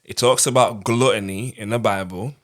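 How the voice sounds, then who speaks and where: A man with a Manchester accent talks casually as a guest on a podcast. His voice sits fairly low, and his talk is slangy, with words doubled up for emphasis. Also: moderate pace, fairly bright tone. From Manchester, England. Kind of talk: casual chat.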